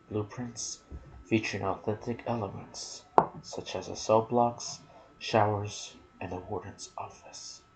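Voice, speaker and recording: A man giving soft-spoken ASMR commentary on a video game, slipping into whispers and pausing between short phrases. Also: on the low side, gravelly, a little hiss or hum, poor audio. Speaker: gaming channel host.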